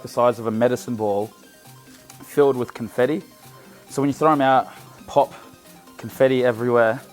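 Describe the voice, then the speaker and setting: A young DJ tells a rambling, sweary party story in casual chat. His voice sits in the middle of the male range. Faint music plays behind him. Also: mid-range voice.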